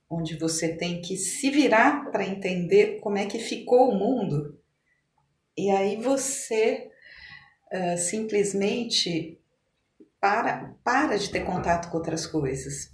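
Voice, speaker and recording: An older woman with a São Paulo Brazilian accent reminiscing as a podcast guest, with hesitant, halting phrasing. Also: medium pitch. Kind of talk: conversational interview, personal reminiscing. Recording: echoey room with faint noise.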